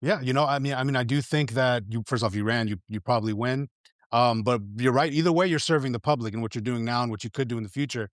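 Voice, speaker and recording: A man appearing as a journalist guest, speaking in an animated, emphatic way in casual conversation. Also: mid-range voice, quick pace, no room sound, studio quality.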